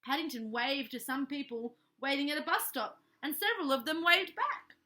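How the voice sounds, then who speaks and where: A woman narrates a children's storybook in a high, bright voice. Her reading is expressive and animated, with small playful asides to the listener. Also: normal speed. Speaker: storybook reader.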